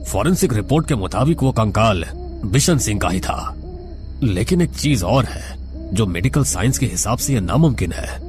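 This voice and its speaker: Guy doing matter of fact official, formal n measured, horror story, kinda low voice. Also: animated, natural voice. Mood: grave, puzzled. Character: matter-of-fact male official briefing a patient.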